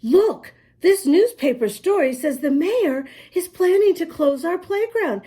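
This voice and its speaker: A woman's voice: very high voice, animated, unhurried, dark tone. A woman reading a storybook aloud to young children, explaining as she goes in an emphatic, sing-song manner. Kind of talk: expressive read-aloud to young children with asides.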